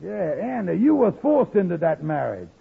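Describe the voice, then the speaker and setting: Nosy, loyal pal in vintage radio comedy: croaky, swooping delivery, folksy repetitions. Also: male voice, high-pitched, big pitch swings, exaggerated voice. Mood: indignant, insistent. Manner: folksy dialect grammar, drawn-out repetitions. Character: excitable, nosy, good-hearted man, loyal friend. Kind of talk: 1940s radio comedy acting. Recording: muffled vintage radio recording.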